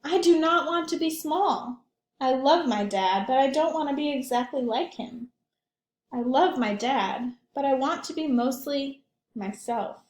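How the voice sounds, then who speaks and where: A woman's voice: high voice, lively intonation, measured pace, darkish tone. A woman reading aloud as a spirited young boy in a storybook, earnest and emphatic. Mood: frustrated, determined. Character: spirited young boy longing for his own name. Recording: echoey room.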